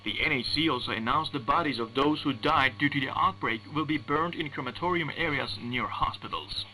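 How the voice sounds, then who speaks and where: A man's voice: medium pitch, brisk, bright, forceful tone. Man reading news on noisy, piercing shortwave radio. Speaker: radio newsreader. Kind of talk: formal scripted news reading.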